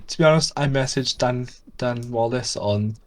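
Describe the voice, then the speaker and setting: A man's voice: medium pitch, normal speed, a little hiss or hum. A man co-hosting a podcast in casual, self-deprecating chat, with a soothing voice. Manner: self-deprecating, excitable storytelling. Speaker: podcast co-host.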